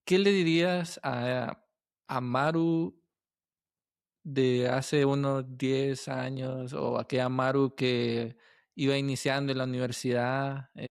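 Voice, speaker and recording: A man's voice: medium pitch, flat delivery, takes it slowly, creaky, clear, resonant tone, no echo. A man speaking as a podcast host, asking questions in a casual, conversational way.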